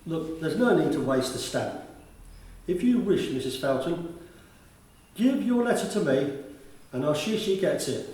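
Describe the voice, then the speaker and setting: An actor playing a kindly, talkative school attendance officer in a radio play, with polite and formal manners. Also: male voice, on the high side, sing-song, unhurried, long pauses, darkish tone. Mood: courteous. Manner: courteous, formal address, talkative. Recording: very echoey room, distant from the mic.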